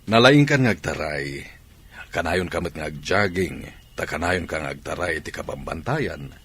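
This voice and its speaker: Deep, lively encouraging sports coach. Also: male voice, measured pace, slight background noise, full character voice. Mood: firm, encouraging. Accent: Filipino, Ilocano. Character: encouraging, authoritative adult male sports coach. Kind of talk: radio drama acting.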